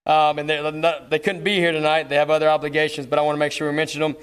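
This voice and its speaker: Male, Texan football coach, somewhat high voice, informal podium speech with folksy repetition. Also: level tone, quick pace, a bit bright, smooth. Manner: folksy, repeats words and phrases. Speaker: football coach hosting an awards ceremony. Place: speaking to an audience at a school awards ceremony.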